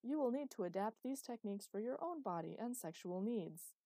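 A woman's voice: fairly high pitch, expressive, brisk, dark tone, dry recording, studio quality, voice pushed up. A woman voicing a man narrating an old instructional video in a formal announcer manner. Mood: announcing.

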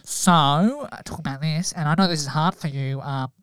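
A man improvising a nerdy ancestry researcher who hesitates and apologises a lot. Smooth clear sound. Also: a little high, fairly even intonation, a little fast, dry recording, studio quality, close to an everyday voice. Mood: fumbling. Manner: hesitant, full of ums and apologies.

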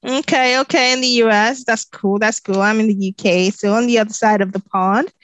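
A woman's voice: fairly high pitch, fairly even intonation, brisk, a bit bright. A woman hosting a podcast interview, upbeat and friendly, laughing easily as she welcomes a guest. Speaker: podcast host. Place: United Kingdom.

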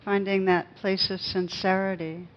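A woman's voice: mid-range voice, flat delivery. Woman guiding meditation, slightly muffled recording. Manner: gentle, unhurried, with pauses. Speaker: meditation teacher. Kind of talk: guided meditation.

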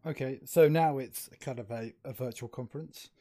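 A man hosts a casual chat over a video call, loose and jokey, now and then running himself down. His voice is mid-pitched and sounds dry and close. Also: moderate pace, warm tone, dry recording. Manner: jokey, loose, self-deprecating. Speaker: host.

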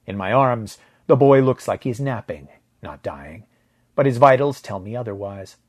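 A man's voice: medium pitch, lively intonation, normal speed, darkish tone. A man narrating first-person science fiction fiction from a script as a storyteller.

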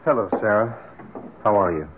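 An actor playing a considerate, concerned family friend in an old-time radio drama, talking gently and conversationally. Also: male voice, on the low side, normal speed, noisy background, close to an everyday voice. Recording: muffled lo-fi radio drama recording.

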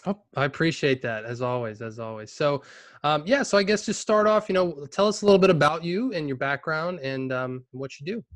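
A young man hosting a podcast, chatting in a relaxed way with lots of fillers, often trailing off quietly. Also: a little high, brisk, no echo. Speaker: podcast host. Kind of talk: casual interview chat.

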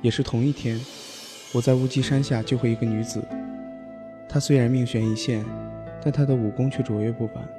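A man plays a cool young lord of a hidden valley, measured and aloof, in an audio drama. He speaks at a middle pitch with a score playing clearly behind him. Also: steady tone, over music, lightly acted. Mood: reflective. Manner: measured, composed, slightly aloof. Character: composed young male valley lord, former prince. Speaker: voice actor. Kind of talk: Chinese audio drama acting and narration.

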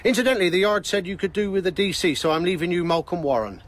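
An actor playing a deferential local chief inspector in a radio drama, matter-of-fact and clipped. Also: male voice, high voice, fast talker, lightly acted. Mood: businesslike.

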